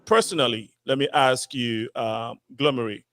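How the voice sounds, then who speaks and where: A man's voice: mid-range voice, moderate pace, a bit bright, dry recording. A man hosting a panel talk show, asking long, rambling questions full of fillers. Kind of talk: panel discussion moderating.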